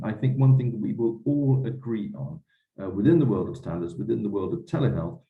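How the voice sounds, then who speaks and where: A man moderating a webinar, speaking in a measured, formal and somewhat hesitant way. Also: low voice, mellow. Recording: echoey room, slightly dull sound.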